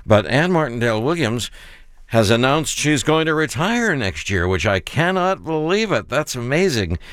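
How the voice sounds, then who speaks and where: A man's voice: mid-range voice, big pitch swings, normal speed, a bit bright, smooth, dry recording, clean studio sound. A man hosting a radio interview, asking questions in an enthusiastic, effusive way.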